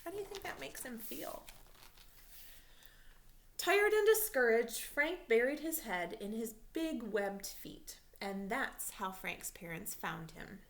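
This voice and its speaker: A woman reading a picture book aloud like a teacher, pausing to explain and ask questions of the listeners. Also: on the high side, lively intonation. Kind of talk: expressive storybook read-aloud with teaching asides.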